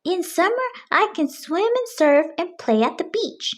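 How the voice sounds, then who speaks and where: Woman acting as young male storybook cat, high voice, lively pitch, childlike, earnest, expressive. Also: a little slow, full character voice. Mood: wistful.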